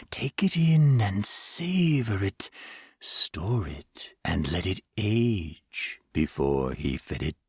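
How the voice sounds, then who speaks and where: A man voicing an ancient creature that feeds on fear, crooning slowly and slipping into whispers. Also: medium pitch, a little mellow, slightly rough, no room sound, clean studio sound, voice pushed up, full character voice. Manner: slow, crooning, drifting into whispers. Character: ancient creature feeding on fear, gloating and hungry.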